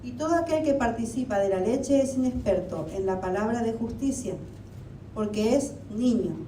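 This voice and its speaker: A woman's voice: lively intonation, normal speed, mellow. Woman preaching in an echoey hall. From Argentina. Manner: conversational preaching with rhetorical questions. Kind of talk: sermon. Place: church hall. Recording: echoey hall, mic at a distance, faint rumble.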